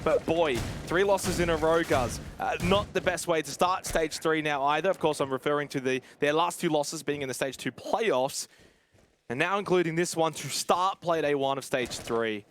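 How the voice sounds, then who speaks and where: A man casting a live esports match, calling the action in quick play-by-play that jumps up to shouts in big moments. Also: fairly high pitch, a little hiss or hum.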